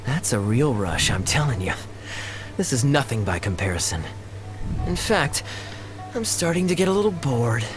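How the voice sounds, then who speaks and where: Man playing a smug, lively rich gambler. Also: mid-range voice, normal speed, music in the background, close to an everyday voice. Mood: mocking, bored. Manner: nonchalant, teasing, condescending. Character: smug, carefree rich male gambler, breezily taunting. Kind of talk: video game voice acting.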